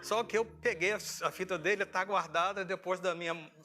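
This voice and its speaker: A man's voice: high voice, steady tone, normal speed, background noise. A middle-aged Brazilian man preaching informally as a pastor, telling stories conversationally with rhetorical questions. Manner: conversational storytelling, acting out dialogue, asking rhetorical questions. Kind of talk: informal sermon with personal anecdotes.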